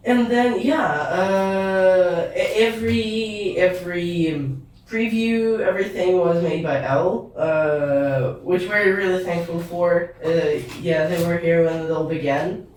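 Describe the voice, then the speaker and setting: Woman podcast host, casual and halting, recorded off-mic in a roomy space. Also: lowish voice, darkish tone. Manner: halting, many fillers and restarts. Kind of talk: casual chat. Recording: echoey room, far from the mic.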